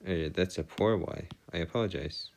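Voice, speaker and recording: A man giving a step-by-step math lesson as a tutor, halting and pausing to check himself, with formal, bookish wording. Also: deep voice, creaky, slight background noise. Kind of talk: lesson, working through equations step by step.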